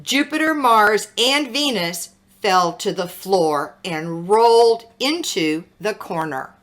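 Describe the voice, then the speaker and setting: A woman reading a picture book aloud as a children's story, with dramatic, expressive delivery. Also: medium pitch. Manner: slow, dramatic, animated storytelling with sound words.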